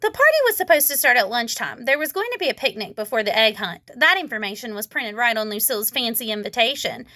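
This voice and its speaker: A woman reading a children's story aloud, expressive, with childlike phrasing. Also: a little high, quick pace, few pauses, no room sound, studio quality. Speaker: storybook reader. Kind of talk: children's read-aloud narration.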